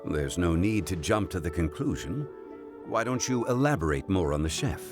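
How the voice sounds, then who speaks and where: An actor voicing a composed, erudite gentleman in a video game, speaking in a formal, measured and thoughtful manner. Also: sits low, animated, music in the background. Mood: calm, guiding. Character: composed, erudite, gentlemanly man of old-fashioned wisdom.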